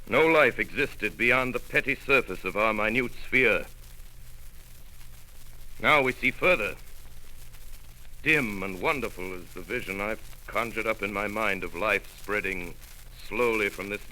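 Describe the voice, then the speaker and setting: An actor playing a solemn middle-aged astronomer who survived the invasion and writes his account, narrating in a grave, halting 1930s radio drama style. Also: fairly low pitch, sharp tone, poor audio.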